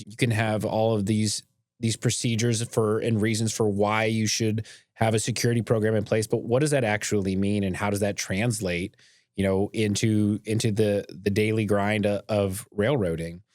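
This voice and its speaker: Low-voiced man talking casually on a podcast. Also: darkish tone, no room sound, studio quality. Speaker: podcast host, railroad company boss.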